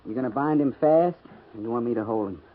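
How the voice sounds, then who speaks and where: An actor playing a loyal, grumbling cavalry sergeant in a 1950s radio western, with folksy rustic speech. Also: male voice, mid-range voice, fast, lightly acted. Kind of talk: 1950s radio drama acting. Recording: noisy, tinny 1950s radio recording.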